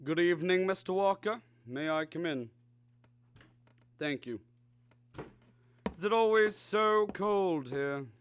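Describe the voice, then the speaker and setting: An actor in a radio drama playing a polite, eerie alien visitor who speaks in a formal, measured way. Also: male voice, high voice, slight background noise. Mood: calm, courteous. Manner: formal, measured, deliberate speech.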